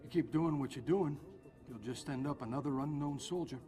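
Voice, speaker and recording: A man's voice: a little high, fairly quick, mellow, faint music behind, close to an everyday voice. A man playing a confident, smug private security boss in a video game scene. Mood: smug, cool. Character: self-assured male private security company boss. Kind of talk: video game cutscene acting.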